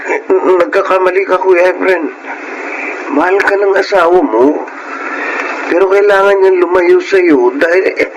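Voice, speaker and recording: An actor with a Filipino accent playing a gentle, concerned young brother-in-law, hesitant and respectful, in a radio melodrama. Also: male voice, high voice, unhurried, pauses often, muffled recording, background noise. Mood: nervous, placating.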